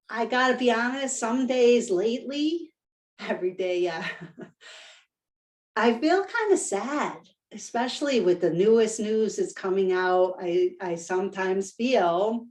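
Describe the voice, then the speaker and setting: A woman's voice: mid-range voice, darkish tone. Woman webinar presenter, upbeat and enthusiastic, laughs often, video-call sound. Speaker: workshop presenter. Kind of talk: live webinar talk.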